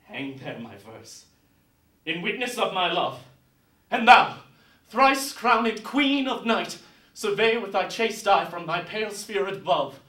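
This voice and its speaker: A man's voice: high-pitched, big pitch swings, slow pace, bright, forceful tone, full character voice. A man playing an ardent, lovestruck young man, declaiming Shakespeare verse with fervour on stage-style terms. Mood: adoring, fervent. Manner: declamatory, fervent. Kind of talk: theatrical Shakespeare verse monologue. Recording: roomy echo, distant mic.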